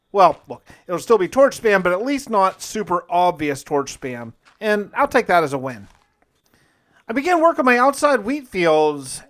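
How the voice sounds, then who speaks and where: Male gaming host, slightly high register. Casual first-person storytelling with folksy, conversational asides. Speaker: gaming video host and player.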